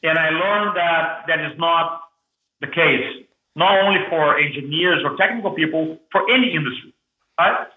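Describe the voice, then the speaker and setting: A man's voice: on the high side, normal speed. Brazilian-accented man on a tinny line. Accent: Brazilian Portuguese. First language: Portuguese. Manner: energetic, repeats and restarts words. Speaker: guest, public speaking coach. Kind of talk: casual interview storytelling. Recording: echoey room over phone-like line.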